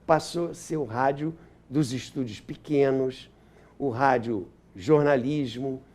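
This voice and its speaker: A man's voice: medium pitch, slow, a little mellow. An older man with a Brazilian accent telling anecdotes and explaining history as an interview guest, repeating phrases for emphasis.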